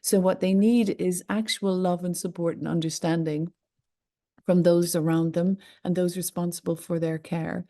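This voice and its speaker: A woman's voice: on the low side, little pitch movement, moderate pace, dark tone. A woman with an Irish accent, answering interview questions earnestly and with emphasis, often repeating words for stress. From Ireland. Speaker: interview guest.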